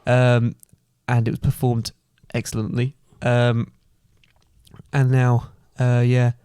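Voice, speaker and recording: A man's voice: medium pitch, monotone, slow, dark tone, smooth, no room sound, clean studio sound, voice pushed down, natural voice. A man playing a bumbling, puzzled miser in an improvised comic amateur pantomime, rambling hesitantly in an everyday voice. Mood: rambling, tired. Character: chatty, bumbling Scrooge, tired and puzzled.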